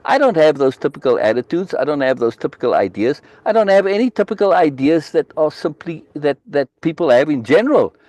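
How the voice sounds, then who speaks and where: A man's voice: fairly high pitch, darkish tone, dry recording. A man from Africa, hosting a live book reading discussion on a video call, speaking emphatically and insistently and repeating key words for force. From South Africa.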